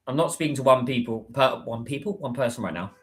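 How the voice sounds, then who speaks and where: A man's voice: mid-range voice, fast, a bit bright. Chatty British man livestreaming. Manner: rambling, chatty, many fillers and restarts. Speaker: livestreamer and business content creator. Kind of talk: casual livestream chat.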